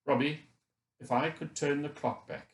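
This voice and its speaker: A man's voice: fairly high pitch, steady tone, moderate pace, roomy sound, pitched above the performer's own voice, natural voice. A man voicing a guilt-ridden middle-aged Scottish father in an audiobook, earnest and apologetic, with an unsteady voice. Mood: guilty. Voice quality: wobbly, unsteady. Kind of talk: audiobook character dialogue.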